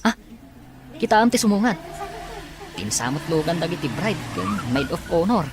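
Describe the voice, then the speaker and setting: A woman's voice: on the low side, sing-song, moderate pace, background noise, pitched above the performer's own voice. A woman playing a gossipy, mocking female wedding guest who teases and exclaims in a radio drama. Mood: curious. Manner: exclaiming, teasing.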